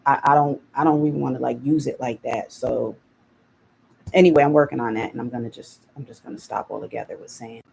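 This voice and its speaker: A live-stream host reacting to clips, mid-pitched and unhurried in thought. They hesitate and restart often, with faint ambient noise under the voice. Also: fairly even intonation, creaky, a little mellow, poor audio. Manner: hesitant, restarting, thinking aloud. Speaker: channel host.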